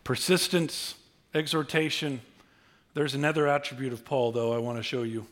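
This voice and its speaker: A middle-aged male pastor giving a sermon, conversational and humorous, swinging from quiet asides to loud bursts. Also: medium pitch, steady tone, normal speed. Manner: humorous, conversational preaching with big swings in loudness.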